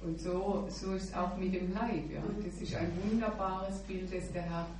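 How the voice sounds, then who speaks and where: A woman's voice: fairly low pitch, steady tone, normal speed, darkish tone. A woman sharing earnestly at a church meeting, often adding an affirming "ja" as she speaks. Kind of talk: informal devotional sharing. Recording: echoey room mic from far off with background noise.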